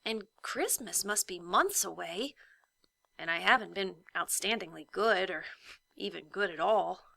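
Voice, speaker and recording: A woman's voice: mid-range voice, animated, measured pace, gravelly, sharp tone, no room sound, heavily acted. A woman voicing a bored, skeptical young boy in a storybook, musing half to himself. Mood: puzzled.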